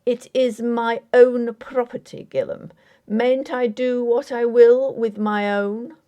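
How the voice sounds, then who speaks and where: A woman voicing a resolute, haughty Victorian gentleman squire who speaks in a formal, declamatory way. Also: a little high, fairly even intonation, slow, pitched above the performer's own voice. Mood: indignant.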